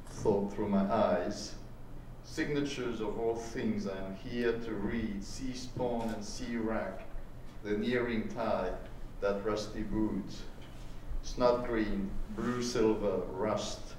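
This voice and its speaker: A man giving a live literary recitation, phrased deliberately in short, halting fragments. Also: lowish voice, steady tone, a little mellow. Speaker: performer reading at a book launch. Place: indoor launch performance, live event. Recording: very echoey room, distant mic, faint noise.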